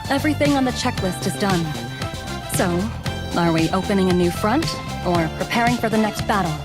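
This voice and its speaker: Casting: female cyborg centaur warrior for a video game, acted by a woman. Mid-pitched, earnest, composed, loyal, gently teasing. Sits under very loud music. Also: fairly quick, natural voice. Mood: playful, confident. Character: devoted, steady female cyborg centaur warrior, playfully eager. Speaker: voice actor in a video game dub. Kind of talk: scripted game character voicelines.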